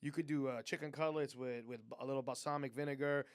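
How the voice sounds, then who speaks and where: A man's voice: mid-range voice, fairly even intonation, quick pace, clean tone, no room sound, studio quality. A man chatting as a podcast guest, crude and rambling, often trailing off mid-sentence. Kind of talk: casual chat.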